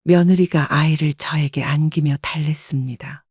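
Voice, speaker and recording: A woman narrating her own family story in the first person, calm and reflective. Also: low voice, normal speed, husky, no room sound, studio quality.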